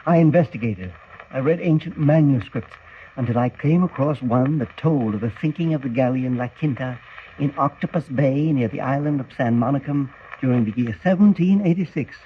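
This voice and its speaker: An actor playing an idealistic older scientist, grave, declamatory and earnest, in 1940s radio serial style. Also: male voice, medium pitch, moderate pace, lightly acted. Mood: earnest, emphatic. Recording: tinny, hissy 1940 radio recording.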